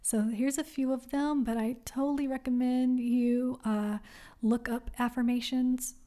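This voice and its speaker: Young woman, podcast host, mellow and a bit high, unhurried and warmly encouraging. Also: steady tone. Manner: soft-spoken, gentle and encouraging, with many pauses. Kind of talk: solo wellness podcast talk.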